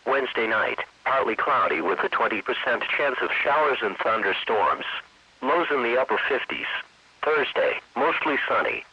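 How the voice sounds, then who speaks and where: A mid-pitched synthetic man with a rough, husky edge reads a scripted weather forecast. His delivery is formulaic and impersonal. The sound is dry, lo-fi weather radio. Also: big pitch swings, normal speed, dry recording. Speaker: automated weather radio announcer. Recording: dull lo-fi weather radio stream.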